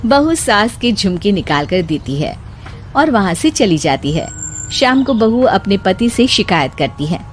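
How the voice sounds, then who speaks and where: A woman's voice: mid-range voice, sing-song, a little slow, slight background noise. A woman narrating a scripted moral story as a storyteller. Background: background music.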